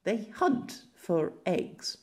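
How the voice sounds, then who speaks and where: A man's voice: high-pitched, sing-song, drawn-out words, a little mellow, voice pushed up, full character voice. A man voicing a teasing, giggly young lady with quaint, formal phrasing in an amateur audiobook. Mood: puzzled. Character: giggly, teasing, old-fashioned young lady, easily delighted.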